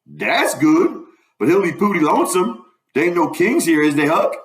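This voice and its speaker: A man's voice: fairly high pitch, lively intonation, moderate pace, pitched above the performer's own voice, natural voice. A man voicing a stubborn, uneducated runaway in a storybook reading, with a folksy Southern US dialect and an emphatic, argumentative manner. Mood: puzzled. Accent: Southern US dialect, put on. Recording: roomy, echoing room.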